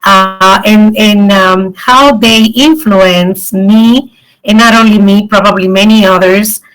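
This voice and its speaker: A woman's voice: medium pitch, steady tone, slow pace, poor audio. Earnest middle-aged woman from the Dominican Republic. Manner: warm, earnest, many ums. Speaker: guest speaker. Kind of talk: online event talk.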